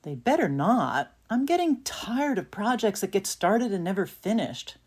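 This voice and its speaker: A woman's voice: medium pitch, big pitch swings, a little slow, a little mellow, breathy edge. A woman playing a skeptical, weary householder in an audio play. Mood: skeptical, exasperated.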